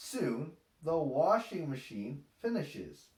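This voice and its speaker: A man narrates a children's picture book with very animated, swooping intonation. His delivery is very slow and deliberate, and the room has a noticeable echo. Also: fairly high pitch. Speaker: storybook reader. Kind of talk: expressive children's read-aloud narration. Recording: echoey, roomy sound.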